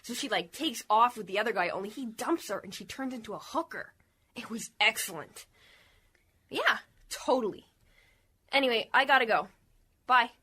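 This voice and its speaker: A woman's voice: fairly high pitch, animated, normal speed, long pauses, pushed and bright, slight background noise. A woman playing a defiant, TV-obsessed teenage daughter in a radio comedy, whiny, slangy and argumentative. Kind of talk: sitcom-style radio comedy acting.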